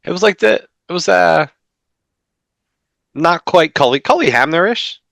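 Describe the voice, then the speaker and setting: A man in his thirties co-hosting a comics podcast from home over a video call, talking in an animated way with many restarts. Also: on the high side, vocal fry, no echo, studio quality. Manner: animated, talks fast with frequent restarts. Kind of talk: casual chat.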